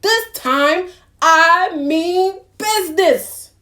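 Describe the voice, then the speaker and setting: A woman voicing a fussy, orderly male duck from a storybook, precise and emphatic, who craves peace and quiet. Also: extremely high pitch, extremely slow, voice pushed up, exaggerated voice. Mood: indignant.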